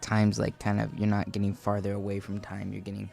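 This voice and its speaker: Gravelly young man co-hosting a radio show. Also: on the low side, completely flat delivery, fairly quick, darkish tone, faint music behind. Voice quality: gravelly, laid-back and joking. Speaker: co-host. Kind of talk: casual radio banter.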